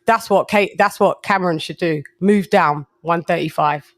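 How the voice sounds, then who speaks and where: Excitable man hosting a live stream. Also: fairly high pitch, moderate pace, no echo. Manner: excitable, reads out super chats. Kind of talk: casual boxing chat on a live stream.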